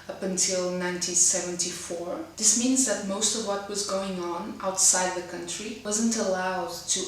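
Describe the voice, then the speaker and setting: A woman's voice: on the low side, fairly bright tone, slight background noise. A woman in her twenties from Portugal with a Portuguese accent, English being her second language, hosting a casual web series and talking carefully with occasional hesitations. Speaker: host. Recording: roomy echo, mic at a distance.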